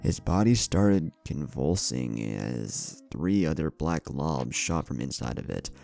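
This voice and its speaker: A man with an extremely low, creaky voice narrates a scripted fantasy battle story. His tone is dark and mellow, with music playing underneath. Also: lively intonation, slow pace. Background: background music.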